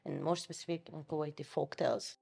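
Low-voiced thoughtful woman guest. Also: steady tone, fast talker, creaky, darkish tone, husky. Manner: thoughtful, hesitant, restarts phrases. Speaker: guest anthropologist. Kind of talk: casual interview talk. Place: podcast studio. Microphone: studio mic on stand.

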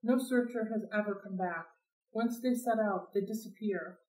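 A woman voicing an earnest young male satyr, speaking slowly and plaintively, recorded in a roomy space from a distance. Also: medium pitch, a little mellow, close to an everyday voice. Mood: sad. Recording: roomy sound, mic at a distance.

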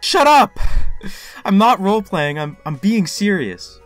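An actor voicing a flustered, excitable young male fan in an animated comic dub, easily exasperated and prone to stammering. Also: mid-range voice, a little slow, soft background music. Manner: urgent, stammering.

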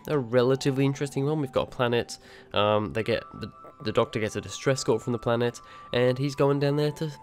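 A young man giving a chatty, rambling spoken review, his voice a little nasal as he recovers from being sick. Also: mid-range voice. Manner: chatty, rambling, many ums and restarts. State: recovering from illness, slightly nasal. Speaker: video reviewer.

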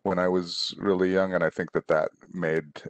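A middle-aged man from Indonesia, an author on a video call, answering children's questions in a relaxed, rambling way with many restarts. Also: deep voice, moderate pace, no room sound, lo-fi sound. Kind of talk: casual conversational answers. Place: room at home, on a video call. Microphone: headset.

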